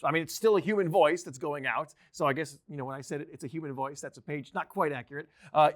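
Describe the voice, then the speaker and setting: A man in his thirties with a fairly high voice talks as a training instructor. He explains things informally and conversationally, slipping in playful asides as he goes. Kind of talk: informal instructional presentation to camera. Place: indoor training video studio, seated at a desk. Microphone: lavalier clipped to shirt.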